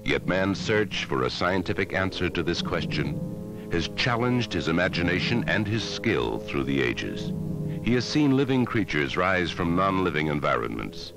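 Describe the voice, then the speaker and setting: A man narrating a scripted educational film in a measured, dramatic way, on a 1960s film soundtrack. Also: sits low, sing-song, creaky, music in the background. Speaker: documentary narrator.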